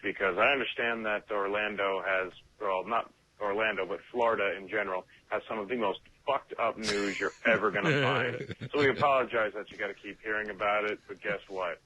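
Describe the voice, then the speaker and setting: A man's voice: on the low side, steady tone, a little fast, few pauses, sharp tone. A man leaving a casual voicemail in a wry, sweary way. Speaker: voicemail caller, podcaster. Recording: dull lo-fi voicemail.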